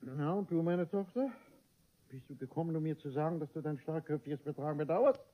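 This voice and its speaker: A man's voice: fairly high pitch, animated, a little fast, lightly acted. An actor playing a pompous, gullible middle-aged father in a classic comedy radio play, blustering, imperious and easily flustered. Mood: stern, self-important. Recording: dull, band-limited old radio recording.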